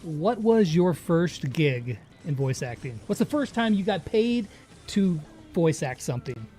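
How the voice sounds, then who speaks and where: A woman's voice: low-pitched, big pitch swings, a little slow, dark tone, faint music behind. A woman hosting a casual interview, informal and affirming as she chats with her guest. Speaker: interviewer, host.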